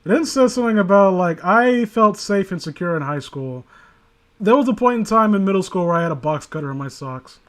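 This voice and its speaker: A man's voice: high voice, animated, brisk, a bit bright. A man from New York City hosting a stream, reading a story aloud with sarcastic, joking, foul-mouthed banter.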